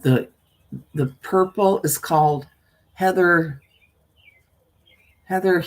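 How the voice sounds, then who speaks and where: An older woman with a husky voice and a folksy, meandering manner, talking as a storyteller hosting her own live stream. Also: on the low side, slow, poor audio. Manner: folksy, meandering, often trailing off and repeating. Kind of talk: casual rambling chat with viewers; reading a spooky story aloud.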